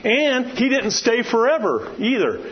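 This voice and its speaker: A man with a loud, carrying voice teaching scripture as a preacher in an informal, conversational and emphatic way, often posing questions to his listeners. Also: very high-pitched, big pitch swings, unhurried. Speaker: preacher teaching a Bible study. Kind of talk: informal sermon with scripture readings and personal asides. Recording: dull lo-fi sound, clear background noise.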